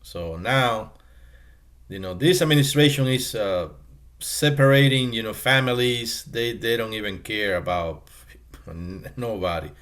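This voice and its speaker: Slow, halting male commentator. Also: on the low side, a bit bright. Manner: halting, repetitive. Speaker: commentator reacting to clips. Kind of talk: casual spoken commentary.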